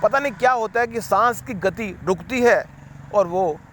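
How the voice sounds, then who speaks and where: A man's voice: high voice, moderate pace, fairly bright tone, slight background noise. A man giving an unscripted spiritual talk, rambling and repeating himself, slipping English words into his sentences. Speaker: spiritual speaker.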